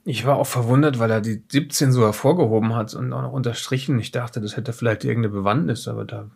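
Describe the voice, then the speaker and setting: A man acting as an evasive male witness in an audio drama, his voice creaky with fry. He talks casually and haltingly, with a slightly defensive edge. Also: medium pitch, steady tone, darkish tone. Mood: reflective. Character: evasive male witness recounting a mysterious investigation. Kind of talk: improvised audio drama, conversational testimony.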